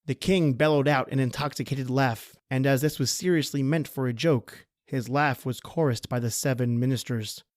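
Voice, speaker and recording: Male narrator for radio horror drama: medium pitch, theatrical scripted reading, dry studio-like sound. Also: normal speed, few pauses, darkish tone, no echo.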